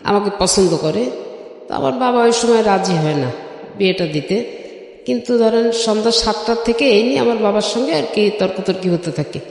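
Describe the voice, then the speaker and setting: A woman's voice: mid-range voice, brisk, darkish tone. A middle-aged Bangladeshi woman telling her life story in an interview, step by step, with frequent filler phrases. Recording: very echoey, long reverb.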